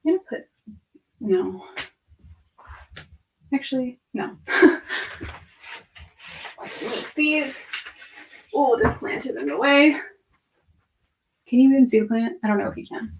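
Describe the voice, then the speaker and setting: A woman's voice: fairly high pitch, expressive, slow, pauses often, slight background noise, low-quality recording. A woman in her twenties chatting casually on a livestream, rambling and half-distracted as she thinks aloud and answers viewers' questions. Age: 20s. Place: room at home with bookshelves.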